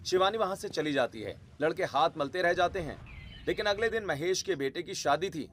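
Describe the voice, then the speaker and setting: A male narrator tells a scripted family tale in dramatic storytelling fashion. His voice sits a little high for a man, and music plays behind him. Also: expressive, a little fast, a bit bright, slight background noise.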